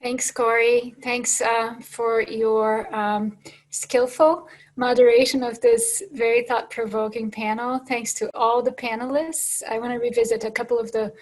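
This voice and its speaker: Slightly high, hoarse woman host. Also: flat delivery, a little slow, low-quality recording. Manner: thankful, summarizing, with many fillers. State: hoarse, losing her voice. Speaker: host closing a panel forum. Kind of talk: closing remarks at an online panel.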